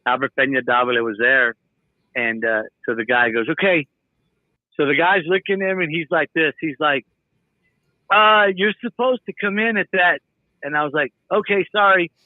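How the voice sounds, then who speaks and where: Tinny-sounding man telling animated stories. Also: fairly high pitch, normal speed, dry recording. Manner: animated, quotes people in his stories. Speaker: guest musician. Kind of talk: casual storytelling.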